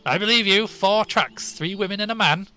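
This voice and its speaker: An old shepherd with broad country speech, grave and superstitious, put on by a male reader. He speaks loudly and heavily, pressing his warnings. Soft music plays faintly behind him. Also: mid-range voice, normal speed, close to an everyday voice. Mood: startled. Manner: broad country dialect, dropped words.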